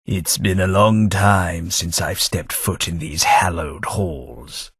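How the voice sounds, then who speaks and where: A forgetful older gentleman. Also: low-pitched, slow pace, vocal fry, husky, dry recording, clean studio sound, full character voice. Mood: nostalgic. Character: forgetful older English gentleman companion, weary and reflective. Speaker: game companion character. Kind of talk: video game voice acting.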